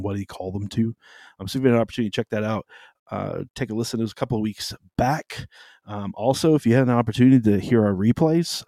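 A man's voice: sits low, warm tone, no room sound. A man co-hosting a faith podcast, talking casually with rambling asides and jokes. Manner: rambling, joking, many fillers. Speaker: podcast co-host, pastor.

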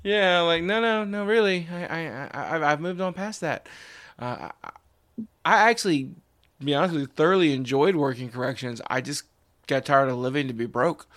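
A man's voice: on the high side, moderate pace, a bit bright, no room sound. A man in his forties hosting a podcast in casual chat, rambling with restarts and wry asides. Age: 40s. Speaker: podcast host.

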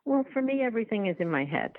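A woman's voice: a little high, very animated, dry recording. A woman talking casually as an interview guest, often restarting and repeating her words as she explains her filmmaking. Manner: halting, repetitive, self-restarting storytelling. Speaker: podcast guest, documentary filmmaker. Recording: tinny, phone-like line.

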